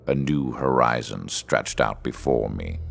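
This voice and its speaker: An actor playing a cold, ruthless male villain in a game cutscene, speaking slowly with a deep gravelly voice and icy menace. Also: fairly bright tone, exaggerated voice. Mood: grandiose. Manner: slow, icy, menacing delivery. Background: music.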